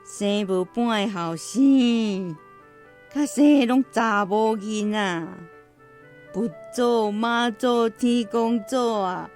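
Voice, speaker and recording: Slow-spoken old Taiwanese grandmother. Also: a little high, a little mellow. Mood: pleading, sighing. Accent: Taiwanese Hokkien. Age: older. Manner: sighing, drawn-out, emphatic delivery. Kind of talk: radio drama acting. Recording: music behind.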